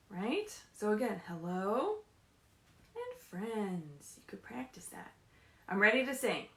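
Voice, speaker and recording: A woman leading a story time for young children as a librarian, animated and encouraging, often putting questions to the kids, speaking from a room at home. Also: medium pitch. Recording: roomy, echoey home livestream.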